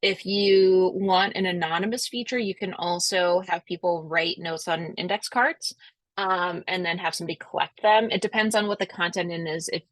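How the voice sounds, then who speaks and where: A woman's voice: lowish voice, fairly even intonation, moderate pace, fairly bright tone, no echo, low-quality recording. A woman with a Rhode Island accent hosting a video-call webinar, answering questions in a chatty, reassuring way. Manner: friendly, chatty, reassuring, many fillers. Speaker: webinar host, conference organizer. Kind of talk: casual chat, answering questions.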